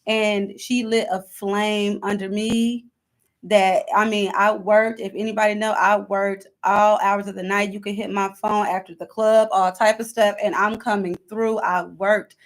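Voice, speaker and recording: A woman's voice: medium pitch, flat delivery, measured pace. A woman of thirty-two talking casually as an interview guest, emphatic and often repeating her phrases to drive them home. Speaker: interview guest, hair stylist.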